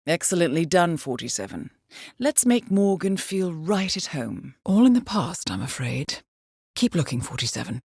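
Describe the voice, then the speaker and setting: An actress playing a composed, dryly witty intelligence handler in a video game, briefing an assassin in a formal, understated, ironic manner. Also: female voice, on the low side, big pitch swings, normal speed, a little mellow, slightly rough, no room sound, studio quality, exaggerated voice. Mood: dry, wry. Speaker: mission handler.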